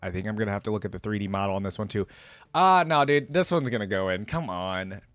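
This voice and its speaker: A man's voice: fairly low pitch, expressive, fast, fairly bright tone, no room sound, soft background music. A man hosting a casual reaction video, talking to viewers in an informal, slangy, exclamatory way.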